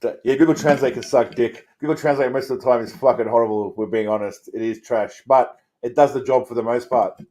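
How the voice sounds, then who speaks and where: A man's voice: mid-range voice, steady tone, fairly quick, few pauses, dark tone. Blokey Australian man chatting casually. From Australia, Melbourne suburbs. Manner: blokey, crude, heavy swearing, rambling asides. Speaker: host of a livestream channel. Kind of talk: casual livestream chat answering viewers. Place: indoors.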